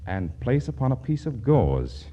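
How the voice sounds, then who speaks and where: An actor playing a pompous, earnest store boss in a 1940s radio comedy, blustering and lecturing, stammering when flustered. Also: male voice, medium pitch, very animated, unhurried, mellow. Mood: earnest, fussy. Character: pompous, earnest department store boss, fussy about instructions. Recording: noisy old 1940s radio broadcast.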